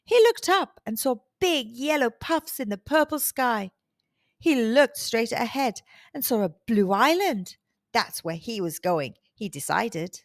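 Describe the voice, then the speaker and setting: A woman hosting a children's storytime, reading aloud expressively with deliberate, dramatic emphasis. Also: a little high, animated, dry recording, clean studio sound. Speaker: children's storytime host and narrator.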